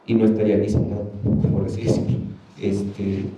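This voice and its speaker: A man's voice: lowish voice, monotone, a little fast, mellow. A man with a Mexican Spanish accent whose first language is Ayuuk, speaking as an artist on a panel in a loose, colloquial, rambling way with many fillers. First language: Ayuuk (Mixe). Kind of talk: casual panel talk. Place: museum talk hall. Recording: roomy, distant, dull sound.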